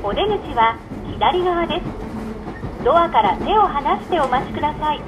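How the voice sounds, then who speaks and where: A woman's voice: animated, normal speed, highs cut off. A woman giving scripted, polite and formal onboard train announcements. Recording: very noisy recording inside moving train. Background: train rumble and noise.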